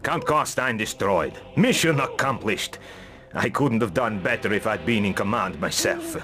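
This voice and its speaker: An actor playing a cocky second-in-command in a fantasy game, reporting in a brisk, wry military manner. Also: male voice, fairly low pitch, wildly swooping pitch, pushed and bright, soft background music, heavily acted. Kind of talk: fantasy video game cutscene acting.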